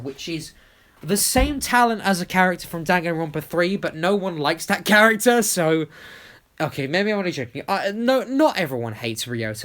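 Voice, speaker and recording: Casual YouTuber, mid-pitched voice, enthusiastic and rambling, informal voice-over delivery. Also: a bit bright. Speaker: YouTube channel creator.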